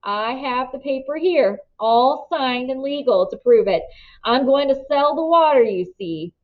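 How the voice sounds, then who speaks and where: A woman's voice: expressive, a little slow, narrow, tinny audio. A woman reading a storybook voices a smug, greedy man who talks with petulant, thin politeness. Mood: excited, smug. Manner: smooth talk turning higher, petulant and shrill.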